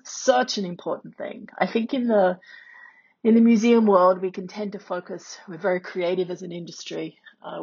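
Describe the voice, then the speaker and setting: Lady with a low husky voice doing podcast commentary, kinda muffled audio. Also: a little mellow. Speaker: expert commentator reflecting on an interview.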